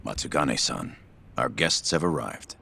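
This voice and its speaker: An actor playing a gruff, surly gangster in a video game, gravelly and curt. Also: male voice, very deep voice, big pitch swings, normal speed, pushed and bright, soft background music, heavily acted. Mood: formal. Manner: curt, grudging. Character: gruff, surly male yakuza underling. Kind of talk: video game cutscene acting.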